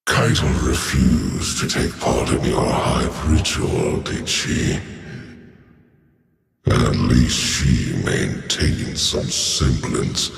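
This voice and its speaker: Cavernous, gravelly alien warlord. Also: male voice, animated, full character voice. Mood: mocking menace. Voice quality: gravelly, booming. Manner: slow, grandiose, menacing delivery. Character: towering alien warlord's ghost, proud, contemptuous tyrant. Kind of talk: video game villain acting. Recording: cavernous echo, long reverb.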